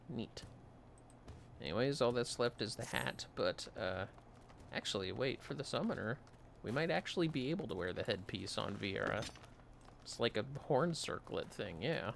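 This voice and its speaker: A man's voice: mid-range voice, moderate pace, creaky, a little hiss or hum. A man giving casual livestream game commentary, musing wryly aloud to himself. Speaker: livestreamer playing an online fantasy game. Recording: music behind the voice.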